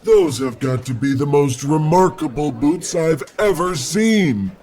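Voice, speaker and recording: A man's voice: on the high side, lively intonation, unhurried, darkish tone. A man playing a pompous, bossy government office boss with bombastic, theatrical delivery in a comic radio play. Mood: blustering, fawning. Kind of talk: comic old-time radio play acting.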